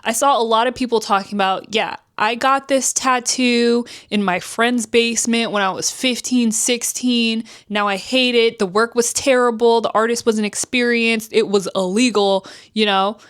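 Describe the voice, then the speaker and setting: A woman's voice: fairly even intonation, normal speed, few pauses, fairly bright tone, clear, resonant tone. A woman in her twenties from New Jersey talking candidly to camera in a casual vlog. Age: 20s. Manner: chatty, candid, acts out quotes with pitch swings. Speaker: vlogger.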